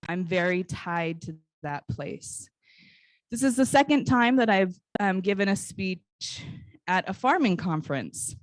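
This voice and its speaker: An American woman in her forties giving an informal public talk in a conversational, personal storytelling way, with frequent pauses. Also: on the low side, dry recording. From Northern California, United States. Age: 40s. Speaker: guest speaker, basket weaver and cultural educator. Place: live talk at a conference.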